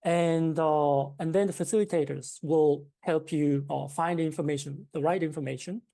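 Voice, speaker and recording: A woman's voice: low voice, steady tone, moderate pace, gravelly, dark tone. A woman from Japan with a Japanese accent, a founder being interviewed, explaining at length with many fillers and restarts. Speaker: startup founder, guest.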